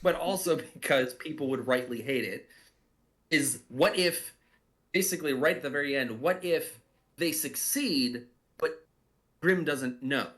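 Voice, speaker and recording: A man's voice: mid-range voice, measured pace, a bit bright. A man talking casually in a group commentary as a drama's creator, thinking aloud in a rambling way. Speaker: audio drama writer and director.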